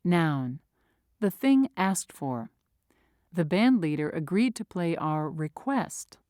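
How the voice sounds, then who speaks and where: A woman's voice: lowish voice, big pitch swings, takes it slowly, a little mellow, no room sound, studio quality. A woman reading vocabulary words aloud, saying each word twice before its meaning and an example sentence. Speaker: vocabulary reader. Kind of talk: slow, deliberate vocabulary reading.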